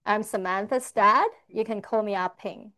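A woman's voice: mid-range voice, normal speed, sharp tone. A woman interpreting a church testimony, rendering the speaker's words line by line in the first person. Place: church service.

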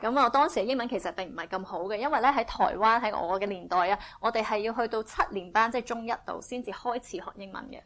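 A woman interpreting a sermon phrase by phrase, speaking in a brisk, fluent and eager way. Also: a little high, fairly bright tone.

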